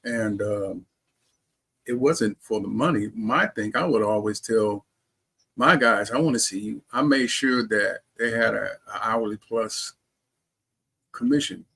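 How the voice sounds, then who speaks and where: A man's voice: lowish voice, a little slow, gravelly, fairly bright tone, slightly rough. A man speaking casually as an interview guest, telling personal stories. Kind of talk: casual conversational storytelling.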